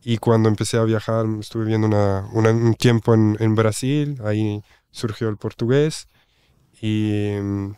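A man from Switzerland, a podcast guest, answering calmly and explaining at length. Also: lowish voice, normal speed, smooth, no room sound. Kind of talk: interview answers.

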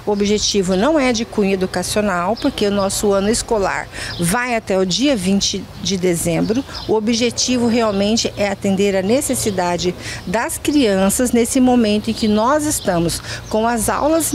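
A woman's voice: medium pitch, a little mellow. A middle-aged Brazilian woman, an official giving explanatory answers in an outdoor interview. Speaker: education department director. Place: outdoors under a covered yard. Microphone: reporter's handheld microphone. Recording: faint outdoor background noise.

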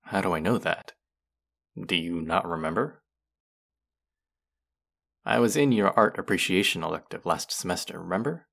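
Man acting a smug, deep, gravelly college guy. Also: a bit bright, full character voice. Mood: haughty, dismissive. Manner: condescending, sardonic, drawling. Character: smug, condescending male college student, crush's rude twin. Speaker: audio roleplay voice actor. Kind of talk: scripted roleplay addressed to the listener.

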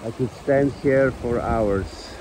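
Slow-talking man filming outdoors. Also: medium pitch, background noise. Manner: slow, enthusiastic, simple phrasing with pauses. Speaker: vlogger. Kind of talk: casual outdoor commentary. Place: outdoors at a ski hill and by a mountain river.

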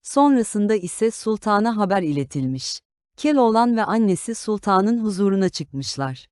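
A woman reading a scripted fairy tale for children as an expressive storyteller. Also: medium pitch, normal speed, smooth, no room sound, clean studio sound.

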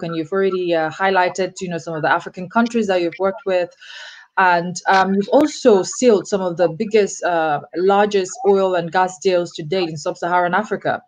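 A young woman hosting an interview, asking long, formally phrased questions in a measured way over a video call. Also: on the low side. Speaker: interviewer, host. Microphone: video call webcam.

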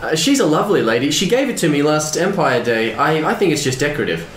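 An actor playing an easygoing smuggler pilot and crew leader in an audio drama, casual and dry. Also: male voice, fairly high pitch, very animated, quick pace. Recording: roomy with faint background noise.

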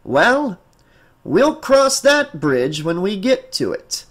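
A narrator plays a male hospital psychologist in an audiobook. He speaks in a formal, measured way, apologetic yet insistent, in a somewhat high male voice. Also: sing-song, a bit bright, heavily acted. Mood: evasive, calm. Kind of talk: audiobook character acting.

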